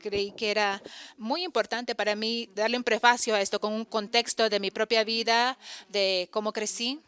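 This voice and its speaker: A woman giving a voice-over interpretation of a conference talk, halting often to correct and restart her phrases. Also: on the high side, little pitch movement, a bit bright, clear, resonant tone.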